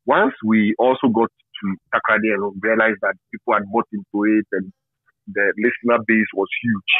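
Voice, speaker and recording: Over a tinny, phone-like line, a middle-aged man with a Ghanaian accent answers interview questions reflectively. His low voice is husky, and he speaks haltingly, repeating phrases for emphasis. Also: no room sound. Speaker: interview guest, media and marketing professional.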